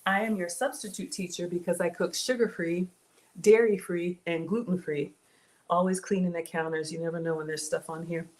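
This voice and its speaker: A woman's voice: lowish voice, steady tone, moderate pace. A woman born in Ghana and raised in the American South, hosting a casual live cooking stream from her home kitchen, chatting with viewers and reading their comments aloud. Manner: chatty and warm with viewers, thanks supporters, says "y'all". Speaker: cooking livestream host.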